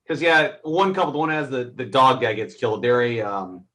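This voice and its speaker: A man in a casual, unscripted film review, speaking haltingly and groping for his words. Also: medium pitch, lively intonation, a bit bright.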